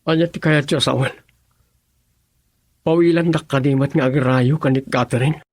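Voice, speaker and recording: A man's voice: medium pitch, steady tone, moderate pace, natural voice. An actor playing an earnest, troubled young man in love in a melodramatic radio drama.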